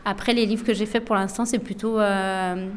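Young woman, mid voice, halting interview answers, lots of "euh"s. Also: medium pitch, fairly even intonation, slight background noise. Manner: hesitant, many fillers and restarts. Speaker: illustrator and author of books. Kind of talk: radio interview, spontaneous answers.

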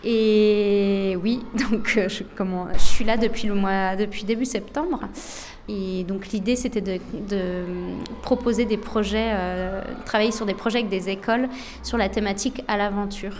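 Young woman, mid voice, halting interview answers, lots of "euh"s. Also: medium pitch, fairly even intonation, a little mellow, slight background noise. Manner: hesitant, many fillers and restarts. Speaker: illustrator and author of books. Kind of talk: radio interview, spontaneous answers.

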